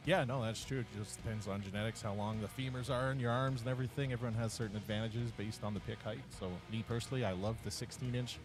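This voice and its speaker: A man's voice: medium pitch, steady tone, fast, few pauses. A man giving easygoing, chatty colour commentary at an outdoor strongman event. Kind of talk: live color commentary. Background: guitar music.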